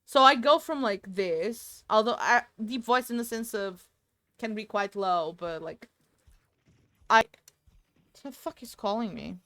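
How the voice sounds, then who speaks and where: A woman's voice: mid-range voice, measured pace, pauses often, a bit bright, no room sound. A woman streaming a game from home into a desk microphone, chatting with viewers in a rambling, talkative way. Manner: chatty, rambling, answers chat aloud. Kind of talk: casual gaming stream chat.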